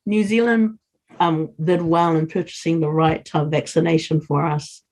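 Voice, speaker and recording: A woman's voice: low voice, steady tone, moderate pace, a little mellow, rough recording. A woman who speaks English as a second language, talking as a podcast guest in an earnest, encouraging way. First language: non-English first language. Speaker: podcast guest, community leader. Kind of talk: casual interview talk.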